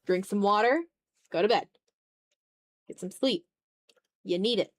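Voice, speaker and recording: A woman with a mid-pitched voice hosts a casual drawing stream. She talks in a loose, playful way to her chat, swearing lightly and tending toward mock-dramatic complaint. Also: unhurried. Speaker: art streamer.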